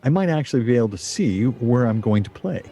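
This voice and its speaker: Fussy absorbed husband in a radio play, hesitant, soft dark tone, music behind. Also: male voice, medium pitch, lively intonation, brisk. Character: slow, fussy, distracted husband absorbed in board game. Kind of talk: stage radio play comedy acting.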